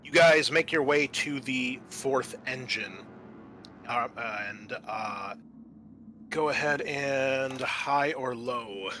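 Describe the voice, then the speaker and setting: A man working as game master, improvising narration for a tabletop roleplaying game in an informal, conversational, halting way. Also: mid-range voice, bright, forceful tone, a little hiss or hum. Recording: music behind the voice. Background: music.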